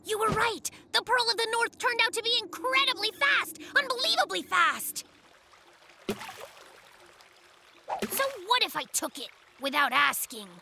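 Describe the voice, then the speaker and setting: Excitable boastful bear cub, very high, lively, cartoon with loud music. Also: female voice, moderate pace, creaky, few pauses, noisy background, heavily acted. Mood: sheepish. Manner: hyper, boastful, dramatic storytelling with sound effects.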